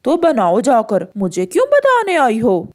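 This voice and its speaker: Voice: mid-range voice, huge pitch swings, measured pace. An actor voicing an exasperated, defensive grown male bird in a cartoon, grumbling and protesting. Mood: irritated. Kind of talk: cartoon dialogue.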